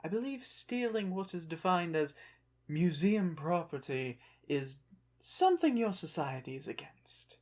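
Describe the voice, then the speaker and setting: Slow, proud revived ancient Egyptian played by an actor. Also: mid-range voice, sing-song. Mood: alarmed, resigned. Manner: formal, haughty, guarded, halting phrases. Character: proud, touchy ancient Egyptian revived from the dead, museum exhibit. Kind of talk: ASMR roleplay, one side of a dialogue.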